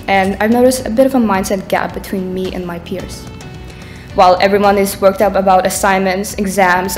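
Earnest teen girl from Ukraine, mid-pitched, reading a speech at a conference lectern. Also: darkish tone. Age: teens. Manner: earnest, reading from notes, rises in intensity near the end. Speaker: student speaker. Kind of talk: prepared speech read aloud. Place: conference stage in a dark hall.

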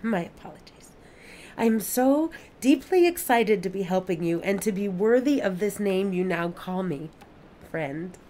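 A woman's voice: medium pitch, expressive, a little slow, warm tone, close to an everyday voice. A woman acting in an audio play as an earnest, eager alien observer who speaks precisely and formally. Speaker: actor. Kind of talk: audio drama acting, log reports and dialogue.

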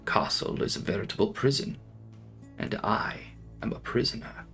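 A young man giving a dramatic, brooding reading of a gothic novel, with theatrical, deliberate phrasing. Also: fairly low pitch, vocal fry, a bit bright, soft background music. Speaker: audiobook narrator.

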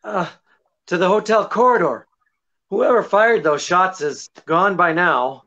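A man's voice: high voice, measured pace, bright, forceful tone, a little hiss or hum. A middle-aged man playing a genial, unflappable detective in old-time radio mystery style, with hesitant, wry interjections. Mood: alert, reassuring. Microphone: video call. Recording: dull lo-fi sound, music behind.

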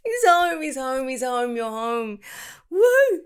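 A woman performing an affectionate ASMR girlfriend roleplay, gushing and squealing by turns, then dropping into soft whispers. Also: sits high, big pitch swings, slow pace, slight background noise.

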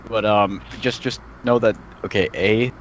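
Man, very low voice, casual guest chat, halting, apologetic, dull lo-fi call audio. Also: fairly even intonation, heavy background noise. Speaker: podcast guest, web series co-director.

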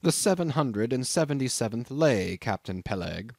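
A man's voice: mid-range voice, very animated, moderate pace, creaky, darkish tone, no echo, clean studio sound. A man voicing a stingy, pious old Quaker ship owner in a storybook reading, preaching steadily and solemnly. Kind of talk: audiobook character acting.

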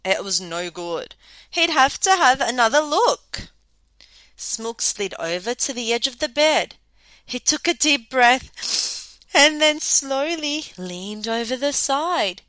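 A woman reading a children's story aloud as an expressive storyteller. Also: high voice, slow, no room sound.

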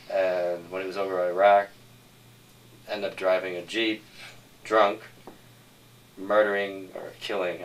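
A man answering interview questions as a screenwriter, explaining his work. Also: on the low side. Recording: slightly distant mic with background noise.

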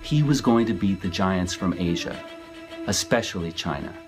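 A man with a fairly low voice tells his story in a measured, scripted narration. Music plays clearly beneath him throughout. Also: expressive. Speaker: author narrating his book trailer. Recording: music bed under the voice.

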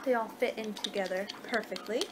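A woman's voice: medium pitch, fairly even intonation, fairly quick. A young American woman doing a casual ASMR roleplay as a vampire, rambling conversationally on a homemade webcam recording. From United States. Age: young adult. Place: living room indoors at home. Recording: noisy amateur webcam recording.

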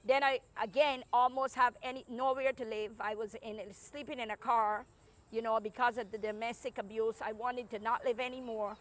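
A woman's voice: on the high side, little pitch movement, normal speed. A middle-aged woman from Barbados with a Barbadian accent, telling her life story earnestly as an interview guest in an outdoor garden on a lapel mic. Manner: earnest, emphatic storytelling with restarts.

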